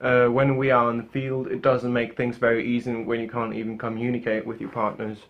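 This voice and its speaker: A man's voice: medium pitch, completely flat delivery, a little fast, vocal fry, dull audio, natural voice. A young man playing a frustrated male drug enforcement agent, talking hesitantly with many fillers. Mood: weary.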